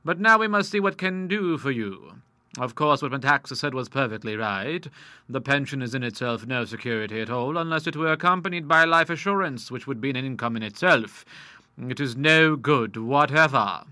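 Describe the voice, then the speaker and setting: Man voicing a genial old lawyer, learned, amiable, bright crisp tone, dry sound. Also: medium pitch, normal speed, no room sound. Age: older. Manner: genial, fond of Latin tags.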